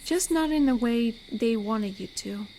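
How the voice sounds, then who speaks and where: Slow, gentle female werewolf played by a woman. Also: a little high, expressive, slight background noise. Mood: comforting, sincere. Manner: unhurried, soothing, reflective, with pauses. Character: amiable, protective, lonely female werewolf, comforting and gentle. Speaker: voice actor in audio roleplay. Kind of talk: intimate scripted audio roleplay. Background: night forest ambience with crickets.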